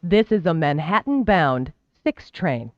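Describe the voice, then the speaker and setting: A train announcer delivering scripted transit announcements in a formal, measured, clearly enunciated way. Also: medium pitch, sing-song, muffled recording, a little hiss or hum. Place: recorded train public-address announcement.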